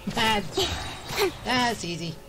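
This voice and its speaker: A woman's voice: mid-range voice, drawn-out words. A woman giving casual gameplay commentary in short, offhand reactions, half to herself. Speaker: gamer giving live commentary. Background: loud game music and effects.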